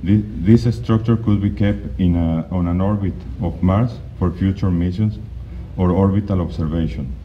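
Man, very low voice, halting conference presenter with many restarts; dull lo-fi recording, faint hiss. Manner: halting, with frequent restarts and fillers. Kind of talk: slide presentation talk. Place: conference hall.

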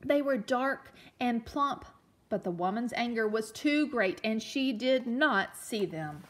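Woman, elementary teacher, high voice, animated intonation, children's read-aloud, expressive, explanatory asides. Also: slow. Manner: expressive, explains words and puts questions to children. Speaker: elementary teacher reading a picture book aloud.

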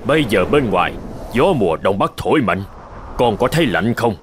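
A man voicing an elderly, wise Buddhist monk in a cartoon folk tale, patient and measured as he teaches. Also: lowish voice, big pitch swings, a little hiss or hum. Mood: calm, instructive. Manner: measured, gently instructive. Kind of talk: animated folk tale dialogue.

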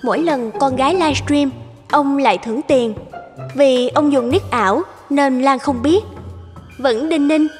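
A woman's voice: sits high, unhurried, big-room sound, soft background music. A woman reading scripted storytelling narration as the narrator of a tale.

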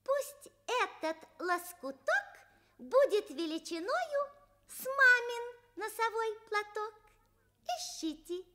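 A woman playing a kindly, commanding magic sewing needle in a children's radio play, speaking deliberately like a fairy. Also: very high-pitched, big pitch swings, sharp tone, exaggerated voice. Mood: instructing.

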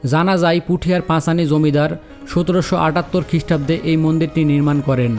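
A man narrating a travel vlog in steady, descriptive scripted voice-over. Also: fairly high pitch, fairly quick, music in the background.

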